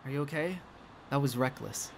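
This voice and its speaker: A woman playing an earnest, excitable young masked superhero in a comic dub, with a theatrical delivery. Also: very deep voice, moderate pace, gravelly, background noise. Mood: cool. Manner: theatrical, swinging from solemn speeches to eager exclamations.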